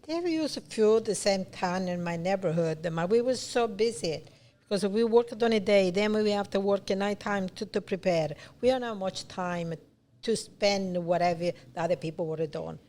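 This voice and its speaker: An older woman with a Sicilian accent, a guest in a casual chat, speaking halting English in a plain, earnest way. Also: mid-range voice, darkish tone. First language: Sicilian dialect. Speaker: guest, business owner.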